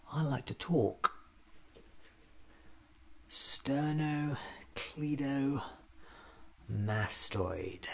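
A man in his thirties doing an ASMR roleplay as a scatterbrained, excitable alien doctor, muttering to himself in fragments, often in a whisper. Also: a little high, vocal fry, a little hiss or hum. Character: scatterbrained, excitable time-travelling alien doctor, newly regenerated. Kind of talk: ASMR roleplay acted as a monologue.

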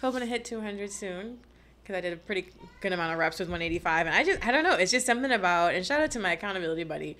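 A woman's voice: on the low side, rapid-fire. A young woman with a Bahamian accent, a guest on a podcast telling stories with frequent laughter, speaking into a close desk mic in a small studio. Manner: lively storyteller, laughs often. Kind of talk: casual podcast chat.

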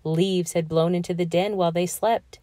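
A woman reading a children's picture book aloud in an expressive, deliberate storytelling manner. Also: on the low side, no room sound. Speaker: storybook reader.

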